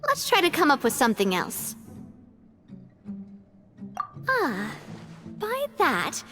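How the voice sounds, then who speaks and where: A woman's voice: very high-pitched, heavily acted. A woman playing a confident, cheerful and gracious young lady boss in a video game, speaking in an encouraging way. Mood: eager. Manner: bright, encouraging, animated.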